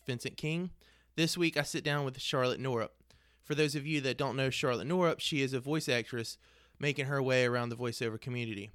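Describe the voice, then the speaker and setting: Smooth-voiced chatty male podcast host. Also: medium pitch, no room sound, studio quality. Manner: rambling, restarts, chatty. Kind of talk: casual interview podcast.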